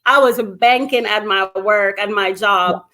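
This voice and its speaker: A woman's voice: medium pitch, a little slow, pushed and bright. A middle-aged woman from Guyana and Brooklyn, speaking as an interview guest in an emphatic, conversational storytelling way. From Guyana and Brooklyn, New York. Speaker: interview guest, anti-gun violence activist.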